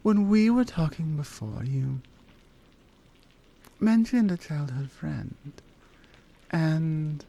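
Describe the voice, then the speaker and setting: A woman playing a strong, tender lioness in an intimate ASMR roleplay, speaking softly and earnestly with deliberate, halting phrasing. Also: low voice, animated, creaky, highs cut off, full character voice. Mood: gentle, curious.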